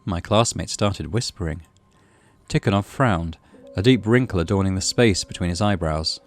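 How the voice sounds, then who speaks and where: A man doing a let's play, reading a visual novel in a dramatic, animated way over game music. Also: low-pitched, moderate pace. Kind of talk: let's play, expressive story narration.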